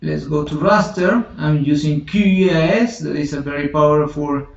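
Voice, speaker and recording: A man whose first language is Spanish talks through a software tutorial slowly and haltingly, correcting himself as he goes. His voice is gravelly and a little high. He sounds set back from the microphone in a roomy space, on a dull, lo-fi recording. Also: recorded from a distance. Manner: halting, thinks aloud, many restarts and self-corrections.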